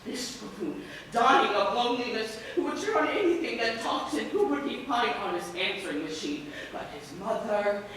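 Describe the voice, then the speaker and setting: A man's voice: high voice, lively intonation, normal speed, hardly any pauses, fairly bright tone. A man acting on stage as a distraught, hapless man around forty, agitated, repetitive and melodramatic. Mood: self-pitying. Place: large echoey room, far microphone. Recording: echoey distant stage recording, noisy.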